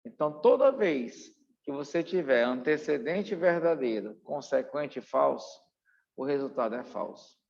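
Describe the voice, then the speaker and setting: A man's voice: a little high, expressive, unhurried, creaky, a little mellow. A Brazilian man giving an exam-prep lecture as a teacher, in a didactic, emphatic way that repeats key points. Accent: Brazilian Portuguese. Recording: dull, muffled, long room reverb.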